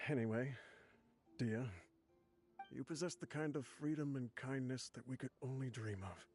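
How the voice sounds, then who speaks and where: A man acting as a roguish old desert chief in an anime game, reading his last letter. He is mid-pitched, wry and boastful, looking back on his life over soft music. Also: slow, pauses often, close to an everyday voice. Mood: wry, fond. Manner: wry, chuckling, reminiscing. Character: roguish dying old desert mercenary leader, jovial, boastful.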